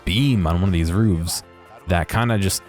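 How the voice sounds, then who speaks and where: A man with a low voice talks through game footage as its video creator. His commentary is casual and unscripted, with a halting, hesitant flow. Music plays behind him. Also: darkish tone.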